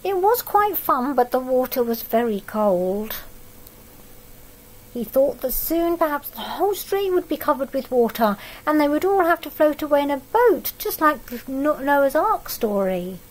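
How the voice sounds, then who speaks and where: Slow, higher-voiced woman reading a storybook. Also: poor audio. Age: middle-aged. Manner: expressive storytelling that pauses to comment on the pictures. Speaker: storybook reader for young children. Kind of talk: reading a picture book aloud, with asides to young listeners. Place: living room at home, indoors.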